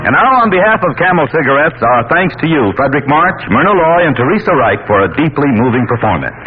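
A man announces in classic 1940s radio style, his voice rising and falling with lively, animated swings. He leans into each selling line emphatically. The sound is thin and tinny like an old broadcast, with loud music underneath. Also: fast. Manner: emphatic commercial pitch delivery.

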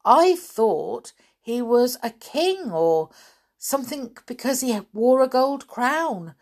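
Storybook gnome, played by a woman: male, excitable, eager caller, high register, very animated delivery. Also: slow pace, heavily acted. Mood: surprised, sure. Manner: yelling, eager, matter-of-fact. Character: excitable male gnome shouting from his tower. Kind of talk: children's storybook character voice.

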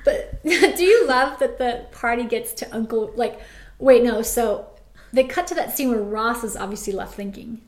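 A woman co-hosting a casual chat, animated and laughing often as she recaps a TV episode. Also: fairly high pitch, normal speed. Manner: animated, laughs often, acts out quoted lines. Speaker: podcast co-host. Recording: roomy echoey sound.

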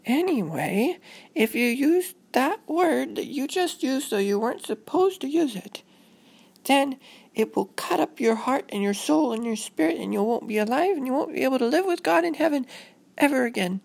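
Little girl, very high pitch, husky edge, prim, dramatic, easily alarmed, children's story. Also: animated, normal speed, darkish tone, heavily acted. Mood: alarmed, insistent. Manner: prim, formal address, overdramatic. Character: panicky literal-minded little girl, daughter, anxious and polite.

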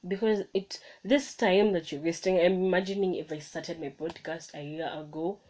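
Young woman, low voice, solo podcast host recorded on a phone, casual and encouraging, stumbles and restarts. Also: fairly even intonation, moderate pace, a little mellow. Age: young adult. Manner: chatty, self-correcting, motivational. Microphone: phone microphone.

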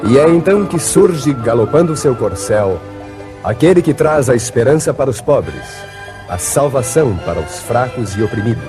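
An actor playing a grand, dramatic narrator of a western serial, declaiming with a Brazilian accent in 1950s radio style. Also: male voice, mid-range voice, very animated, moderate pace, over music, natural voice. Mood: solemn, rousing. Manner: declamatory, solemn, heroic.

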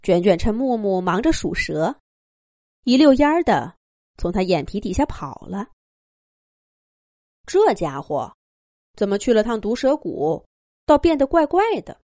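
A woman narrating a children's fairy tale in an expressive, scripted storytelling style. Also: medium pitch, dry recording, clean studio sound.

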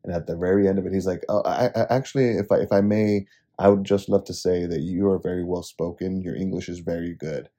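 Young man, Spanish native, podcast co-host, relaxed chatty storytelling, very dark tone. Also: low voice, fast talker. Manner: laid-back storytelling, many "like"s. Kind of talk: casual chat.

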